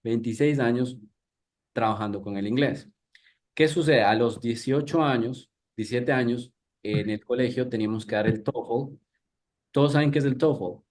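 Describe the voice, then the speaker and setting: A man's voice: medium pitch, moderate pace, darkish tone. A man in his thirties from Ecuador, an English teacher giving a live workshop in a conversational way, with frequent restarts and questions to the audience. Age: 30s.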